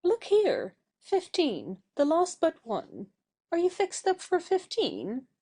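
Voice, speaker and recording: A woman's voice: extremely high pitch, huge pitch swings, slow, dark tone, full character voice. A woman playing a proud, impulsive young society woman with a theatrical, changeable manner in an audio stage play. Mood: eager, impulsive.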